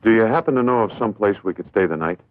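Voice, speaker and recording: A narrow, tinny old film track holds a man acting an irritable traveller. His low, husky voice swings widely as he goes from curt to polite. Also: animated, quick pace, close to an everyday voice. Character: irritable, impulsive man stranded on a trip, protective of car. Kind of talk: dubbed vintage horror film acting.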